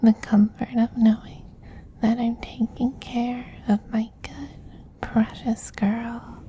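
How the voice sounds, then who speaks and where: A man voicing a doting, possessive femboy vampire queen in a soft, breathy whisper, slow, tender and gently commanding, as in an ASMR roleplay. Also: very high voice, flat delivery, slight background noise. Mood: tender, affectionate.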